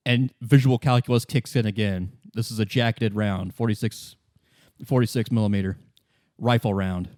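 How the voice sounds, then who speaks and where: A man running a tabletop roleplay as game master, improvising the narration and haltingly thinking aloud. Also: on the low side, steady tone, a little mellow, dry recording, clean studio sound.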